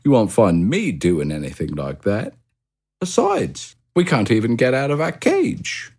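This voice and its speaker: A man voicing a pampered, contented male pet hamster in a shrill, cheerful storybook voice. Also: wildly swooping pitch, measured pace, darkish tone, exaggerated voice. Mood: incredulous.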